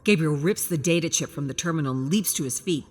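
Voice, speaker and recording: A woman's voice: low-pitched, fast talker. A woman reading a scripted story as a dramatic, suspenseful narrator. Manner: present-tense suspenseful storytelling.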